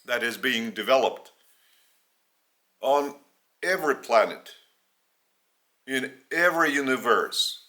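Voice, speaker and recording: A man from Lithuania with a Lithuanian accent, a native Lithuanian speaker, giving a spiritual teaching in English in short, halting phrases. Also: mid-range voice, animated, sharp tone. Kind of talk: spoken religious teaching in English.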